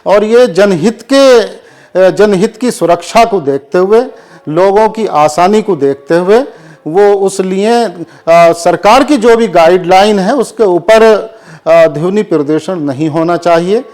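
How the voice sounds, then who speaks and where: A man speaks as a cleric being interviewed, in a fairly high voice. He takes his time, delivering formal, measured statements full of Urdu vocabulary. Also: a little mellow. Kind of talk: formal press interview answers on current affairs.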